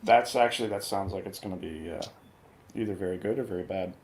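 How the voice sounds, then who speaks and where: A man's voice: low voice, fast, a little mellow. A man talking casually as a panelist in a movie review chat. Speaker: show panelist. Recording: noisy, echoey room sound.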